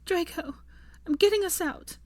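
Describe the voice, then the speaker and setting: A woman's voice: high voice, completely flat delivery, takes it slowly, mellow, dry recording, voice pushed up. A woman voicing a distraught, desperate young woman in an audiobook story, with emotional, pleading delivery. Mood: tearful, desperate. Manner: emotional, pleading, breathy to crying out. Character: distraught young woman trapped beside dying companion.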